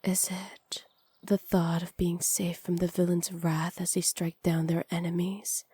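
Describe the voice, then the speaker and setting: A woman playing a seductive, teasing witch in an intimate ASMR roleplay, sly and possessive, often slipping into breathy whispers close to the microphone. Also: on the low side, flat delivery, warm tone, no echo. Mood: sly, probing. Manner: slow, breathy, often whispered, flirtatious purr. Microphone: close studio microphone.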